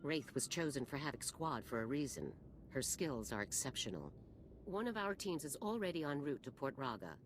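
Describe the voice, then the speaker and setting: A woman's voice: extremely low pitch, very animated, normal speed, a little hiss or hum. An actress playing a stern female military general in a video game, speaking in a formal, authoritative, clipped manner. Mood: grave. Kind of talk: video game character acting.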